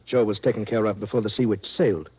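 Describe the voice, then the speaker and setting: A man playing a sanctimonious, pompous do-gooder with a husky voice and formal, precise phrasing in a vintage radio drama. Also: fairly low pitch, steady tone, fast, darkish tone. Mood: concerned. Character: pompous, sanctimonious do-gooder visiting jailed sailors.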